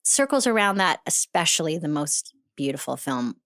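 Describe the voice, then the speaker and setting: A woman in her fifties hosting a casual interview, teasing her guest with quick, playful questions. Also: low voice, sing-song, fairly bright tone. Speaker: podcast host.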